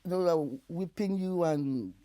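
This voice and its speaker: An older Nigerian woman recounts her past as an interview guest. Her voice is mellow and warm, and she tells even hard memories in an animated way, laughing as she goes. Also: fairly low pitch, slow. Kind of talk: recounting her life story.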